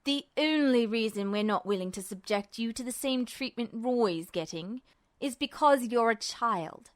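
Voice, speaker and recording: Cold, menacing man played by a woman narrator, lowered pitch, dispassionate and patronizing, smug story villain. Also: animated, measured pace, no echo, studio quality. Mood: cold condescension. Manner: quiet, dispassionate, mockingly patronizing. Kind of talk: dramatized fan fiction reading.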